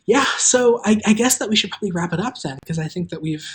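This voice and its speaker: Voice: mid-range voice, animated, fast. A host of an audio drama chatting casually and informally on a livestream, rambling a little from thought to thought.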